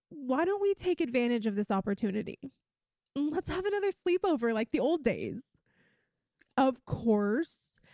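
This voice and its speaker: A woman's voice: sits high, darkish tone, dry recording, studio quality. A woman voicing a playful, affectionate young lamia best friend in an intimate, chatty ASMR roleplay. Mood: cheerful, teasing. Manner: teasing, chatty, giggly. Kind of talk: ASMR roleplay, one-sided intimate conversation.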